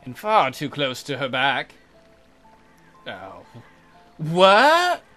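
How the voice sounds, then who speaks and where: A man with a bright, animated voice narrates a visual novel over music. He keeps breaking off to mock it with crude jokes, as a let's play host. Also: a little high, very slow. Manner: crude, mocking humour.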